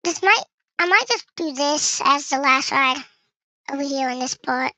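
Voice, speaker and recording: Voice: sits high, moderate pace, pushed and bright, no room sound. A young gamer giving casual gameplay commentary, with the voice run through a voice changer. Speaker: gaming video creator.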